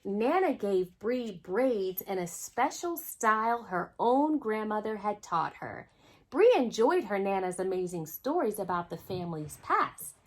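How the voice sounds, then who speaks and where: A woman hosting a children's storytime, reading a picture book aloud expressively and speaking directly to young listeners. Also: medium pitch. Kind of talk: storytime read-aloud with asides to young viewers.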